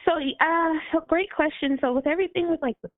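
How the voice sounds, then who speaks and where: A woman's voice: very high voice, a little fast, no echo. A woman musician answering interview questions in a chatty, apologetic way, with frequent laughs. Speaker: guest musician. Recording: tinny phone or call line.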